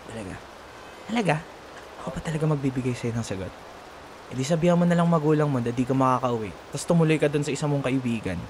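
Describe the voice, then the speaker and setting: A man's voice: medium pitch, quick pace, noisy background, lightly acted. A young man with a Filipino accent acting a cocky, grudging student rival in an ASMR roleplay, teasing and sarcastic in casual banter. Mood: grudging, bickering. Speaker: voice actor.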